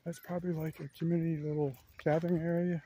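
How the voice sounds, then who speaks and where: A man's voice: on the high side, level tone, fast, warm tone, husky. A man narrating a casual walking tour of a campground, thinking aloud with lots of fillers, recorded outdoors on a handheld camera. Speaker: vlogger giving a walking tour. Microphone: handheld camera while walking.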